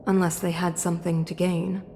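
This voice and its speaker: A woman plays a dignified female sovereign in a video game. She is formal, measured and guarded, on the low side in pitch, with a long hall reverb and faint noise behind her. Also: flat delivery, mellow, natural voice. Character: dignified, guarded adult female ruler of a city. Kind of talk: English video game dub acting.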